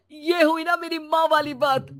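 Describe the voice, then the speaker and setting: A woman's voice: very high voice, no room sound, studio quality, heavily acted. A woman voicing a caring, supportive young adult son and husband in a Hindi moral story. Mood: delighted.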